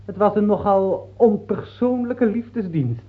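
An actor playing a childish, sly crown prince of twenty-eight in a radio play, prim and naive in manner. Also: male voice, high-pitched, expressive, a little slow. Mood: petulant reproach. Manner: prim, naive, quoting his mother. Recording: thin, narrow-band old radio recording.

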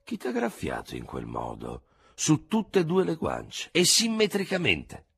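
A man's voice: fairly high pitch, a little slow, gravelly, slightly rough, pitched above the performer's own voice, heavily acted. A man playing a dry, slightly dull old military surgeon in a read-aloud novel. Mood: dry, questioning. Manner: flat, dull, repeats his favorite word. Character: gruff, dry old army surgeon, male.

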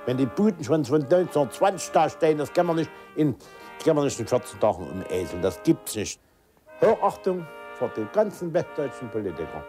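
A man's voice: fairly high pitch, very animated, brisk, warm tone. A man from East Germany speaking spontaneously and emphatically, repeating phrases for stress. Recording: music playing behind.

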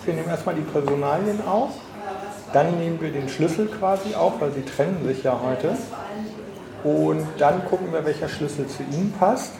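A man's voice: fairly high pitch, moderate pace, vocal fry, roomy sound, crowd noise. A man working as a receptionist, explaining things casually and matter-of-factly to guests. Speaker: hotel receptionist. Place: echoing hotel lobby reception.

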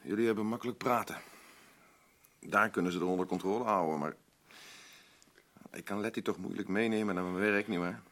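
Gravelly, deep-voiced aggrieved husband. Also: male voice, a little fast, pauses often, fairly bright tone. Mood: bitter, defensive. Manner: blunt, rambling, colloquial, defensive. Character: aggrieved working-class husband, boastful, self-pitying, evasive. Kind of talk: naturalistic radio drama dialogue.

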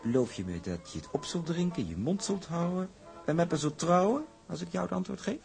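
A man's voice: a little high, very animated, a little fast, creaky, a little mellow, soft background music. An actor playing a smug, domineering shipping tycoon in a drama, talking in a hearty, condescending way to his sweetheart. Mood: patronising. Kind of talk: acted period drama dialogue.

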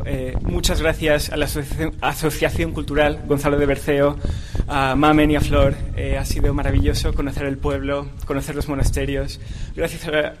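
A man whose first language is not Spanish giving a thank-you speech at a gala, pausing often with fillers. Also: a little high, noisy background. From born abroad. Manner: hesitant, frequent "eh" fillers. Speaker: award presenter.

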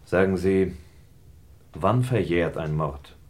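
A wary, determined male hero of a radio crime play, low in pitch. He sounds measured and insistent, pressing with questions and firm terms. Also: lively intonation, a little mellow, heavily acted. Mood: brooding, insistent. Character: wary, determined man bargaining and probing an old murder. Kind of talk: German radio crime drama acting.

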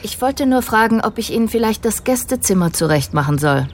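An actress playing a considerate staff member in a naturalistic radio drama, speaking politely and tentatively. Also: female voice, a little high, animated, fairly quick, a little hiss or hum, close to an everyday voice. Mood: tentative, apologetic. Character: polite, considerate female staff member closing up an archive department.

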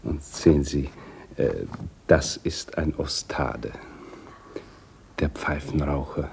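Casting: melancholy, ailing middle-aged gentleman in radio drama, very low, dark, halting, often near a whisper. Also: a little hiss or hum, full character voice. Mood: absorbed, musing. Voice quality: husky, breathy, often sinking to a whisper. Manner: halting, musing, courteous. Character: ailing, melancholy middle-aged husband absorbed in art prints. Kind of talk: 1960s German radio play acting.

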